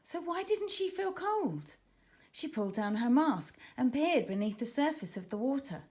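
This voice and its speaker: A woman reading a children's book aloud in an expressive, dramatic storytelling manner, as scripted narration for young listeners. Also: high voice, normal speed. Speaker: author reading her own children's book.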